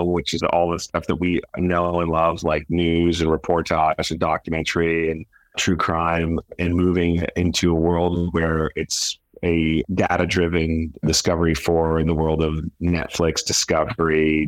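A man's voice: sits low, flat delivery, moderate pace, no echo. A man speaking in an interview as a company founder and chief executive. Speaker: media company founder and CEO, interview guest. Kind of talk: interview talk.

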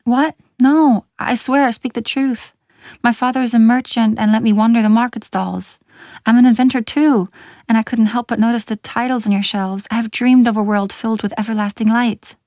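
A woman's voice: mid-range voice, normal speed, dark tone, no room sound, studio quality, natural voice. A woman voicing a curious, earnest young female inventor in a storybook, eager and formal in her speech. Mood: flustered, earnest.

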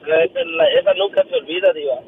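A man's voice: a little high, fairly quick, breathy edge. A young man with a Mexican accent calling into a radio show, eager and laughing as he talks. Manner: eager, laughing, repeats the host's name. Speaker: caller. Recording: dull phone-call audio with faint noise.

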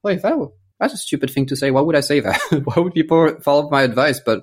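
A man in his thirties from France talks casually and at length as a guest in a conversation, growing animated as he tells stories. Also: on the high side, fast talker, husky. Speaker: interview guest.

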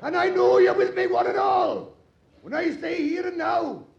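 An actor playing an angry, belligerent miner in an old radio drama, haranguing in rough, ungrammatical working-class speech. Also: male voice, very high voice, big pitch swings, normal speed, exaggerated voice. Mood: indignant, rousing. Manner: bellowing, rabble-rousing, rough ungrammatical speech. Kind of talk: 1940s radio acting. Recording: dull, echoey old radio recording.

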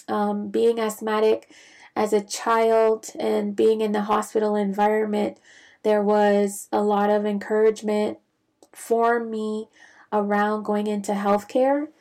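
Mellow, gravelly-voiced woman from the Bahamas. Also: mid-range voice. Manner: reflective, measured, with frequent fillers. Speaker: physician sharing her career story. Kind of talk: casual personal storytelling.

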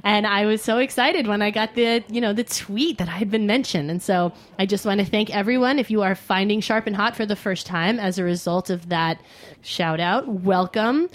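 A woman's voice: mid-range voice, moderate pace. Female radio host, casual interviewer.

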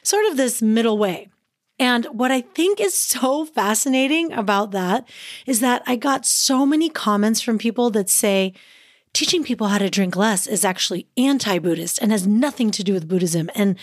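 Upbeat, slightly raspy woman podcast host. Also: on the high side, no echo, clean studio sound. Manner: upbeat, chatty, laughs often. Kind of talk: solo podcast monologue.

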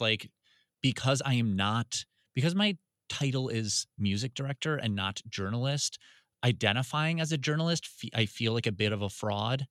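A man's voice: on the low side, bright, forceful tone, no echo, studio quality. A man, a radio music director answering interview questions in a searching way, full of restarts. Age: 30s.

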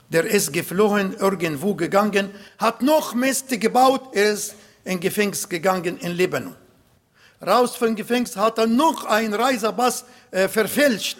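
A man preaching a sermon in German with an Arabic accent, non-native grammar and emphatic, slowly built phrases. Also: high voice. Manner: emphatic, slow, swells louder on key points.

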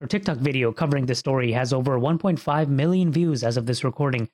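A man's voice: medium pitch, fast, darkish tone. A man hosting an interview podcast. He reads a scripted intro, then asks friendly conversational questions. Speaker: podcast host.

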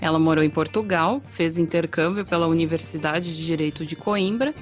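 A woman's voice: low voice, a little fast, soft background music. A woman with a Brazilian accent, co-hosting a podcast as presenter and interviewer. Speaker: podcast co-host.

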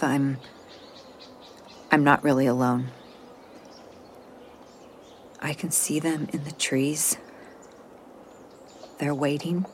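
An actress playing a frightened, lonely astronaut stranded on another world in an audio drama, speaking in a halting, intimate way. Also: female voice, low-pitched, slight background noise. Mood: weary, uneasy. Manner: halting, intimate, drifting into whispers. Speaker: mission specialist in an audio drama. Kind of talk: modern audio drama acting, recorded log monologue.

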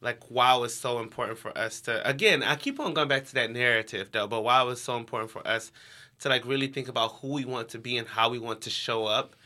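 Interview guest: American male, young adult, crisp bright timbre, informal conversational delivery. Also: medium pitch, fast talker. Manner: informal, heavy use of "like". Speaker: interview guest, education nonprofit co-founder.

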